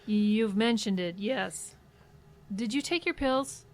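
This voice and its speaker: An actress playing an exasperated, watchful grown daughter in an audio drama, blunt and scolding. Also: female voice, mid-range voice, slow, lightly acted.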